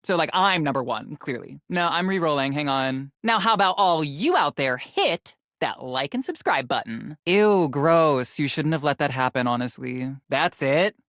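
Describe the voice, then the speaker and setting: Snarky self obsessed influencer girl in a video game, lowish voice all over the place, super dry close sound. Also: lively intonation, normal speed, few pauses, a bit bright, no echo. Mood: snarky, dismissive, then vain, showy. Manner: snarky, self-absorbed, valley-girl social media speak. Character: vain sassy young female influencer lamp, fame-obsessed. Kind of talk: animated video game character acting.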